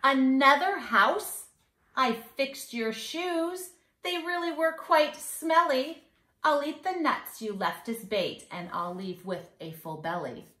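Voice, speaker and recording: A woman's voice: sits high, takes it slowly, bright, forceful tone, echoey room, pitched above the performer's own voice, full character voice. A woman voicing a naughty, boastful little old leprechaun in a storybook, taunting in theatrical singsong rhymes. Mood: cocky taunting. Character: naughty, boastful two-hundred-year-old little male leprechaun trickster. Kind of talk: storybook read-aloud. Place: living room at home.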